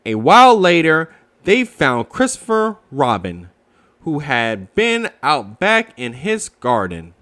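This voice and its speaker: A man's voice: fairly high pitch, wildly swooping pitch, slow, a bit bright. A man narrating a children's story as a read-aloud.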